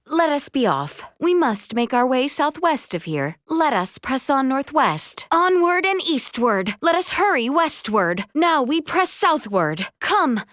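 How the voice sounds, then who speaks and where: A woman's voice: sits high, big pitch swings, measured pace, few pauses, a bit bright, no room sound, studio quality, exaggerated voice. A woman playing a refined, proud and eager young noblewoman in a video game, with formal, theatrical, old-fashioned diction. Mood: brisk. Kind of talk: video game character voice lines.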